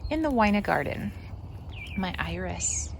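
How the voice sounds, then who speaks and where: A woman's voice: fairly low pitch, very animated, unhurried, gravelly, slight background noise. A woman hosting a gardening vlog, chatting casually and musing as she shows her garden. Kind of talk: casual garden tour chat.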